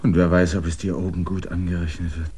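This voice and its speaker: An actor in a German radio drama playing a stubborn, earnest land surveyor, an outsider in a village. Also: male voice, sits low, flat delivery, fast talker, warm tone, husky. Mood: brooding. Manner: earnest, insistent, rising to agitation. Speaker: lead character.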